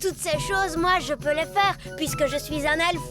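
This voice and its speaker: Cartoon elf boy played by a woman: very high childlike voice, plucky and self-assured, music clearly behind. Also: moderate pace, lightly acted. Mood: confident. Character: confident, boyish, cheerful young elf boy. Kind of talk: French-language cartoon dubbing.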